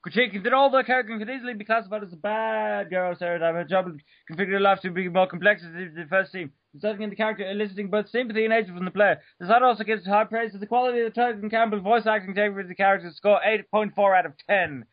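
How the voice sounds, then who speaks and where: A man's voice: high voice, steady tone, quick pace, hardly any pauses, no room sound. A man giving an over-the-top dramatic reading of an article, stumbling over words and breaking off for joking asides, on a dull lo-fi home recording. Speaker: reader. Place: room at home.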